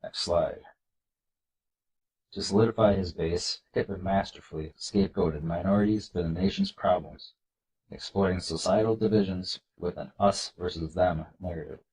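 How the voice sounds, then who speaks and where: A man with a congested, nasal voice from a cold, hosting a video and reading slides aloud in an earnest, measured way. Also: deep voice, fairly even intonation, darkish tone, low-quality recording. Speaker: political video host.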